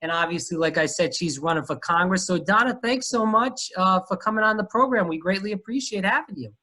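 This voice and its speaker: A man's voice: sits high, animated, fairly quick, pushed and bright. A man from Rhode Island with a Rhode Island accent, hosting a casual interview and talking in a chatty way with lots of fillers. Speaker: podcast host.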